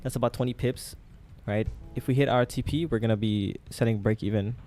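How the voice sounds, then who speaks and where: A man's voice: medium pitch, steady tone, brisk, warm tone, soft background music. A young man, raised in Thailand, hosting a casual live trading stream and talking to his chat with lots of fillers. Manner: chatty, talks to chat with many fillers.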